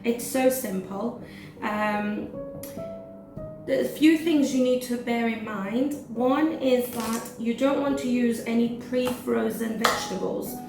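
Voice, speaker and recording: A woman with a slightly hoarse, gravelly voice talks through a recipe for her cooking vlog, chatty and full of "um"s. She sits a bit higher in pitch, and she's picked up from a distance in an echoey kitchen with music playing behind her. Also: takes it slowly. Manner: chatty, with many "um" fillers. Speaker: cooking vlogger. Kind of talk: casual cooking demonstration.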